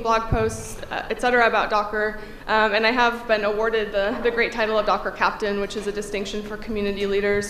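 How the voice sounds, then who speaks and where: A somewhat high-pitched woman in her thirties speaks from a podium microphone, her voice a little congested from a cold. She talks conversationally and fills pauses with frequent "um"s. The echoey conference hall carries a faint room hum behind her. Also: fairly bright tone. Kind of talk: technical conference talk.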